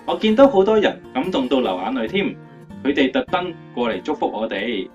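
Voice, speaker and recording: A man's voice: mid-range voice, sing-song, brisk, far from the mic, close to an everyday voice. Radio drama: male actor as upbeat, playful young lover and speaker, dull recording over guitar. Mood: proud, upbeat. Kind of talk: scripted radio drama interview. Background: guitar music.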